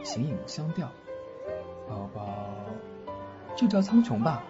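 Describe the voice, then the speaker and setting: A man playing a gentle, lonely wanderer turned godfather in an audio drama. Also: mid-range voice, very animated, takes it slowly. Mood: tender, moved. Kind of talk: Chinese audio drama acting. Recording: muffled recording with music behind.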